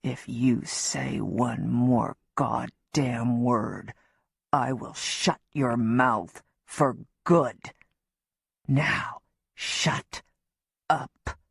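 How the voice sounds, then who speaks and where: A woman's voice: deep voice, normal speed, no echo, studio quality. A woman reading an audiobook and voicing a desperate, violent man on the run who snarls threats. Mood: menacing. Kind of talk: audiobook narration.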